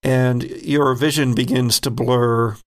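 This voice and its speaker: A man running a tabletop horror game, narrating slowly and deliberately. His voice has a gravelly, creaky edge, and he lets small chuckles slip between descriptions. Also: mid-range voice, steady tone. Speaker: game master.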